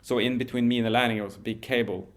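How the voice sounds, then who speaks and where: A man, telling a story as a podcast guest in a relaxed, measured way with frequent restarts. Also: medium pitch. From Norway. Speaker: guest, wingsuit pilot.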